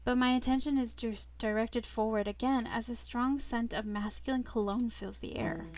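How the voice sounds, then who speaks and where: A woman reading a first-person romance story aloud in an expressive, playful manner. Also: fairly high pitch, normal speed. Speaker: visual novel reader. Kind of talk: first-person narration with live asides. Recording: loud music behind the voice. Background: loud music.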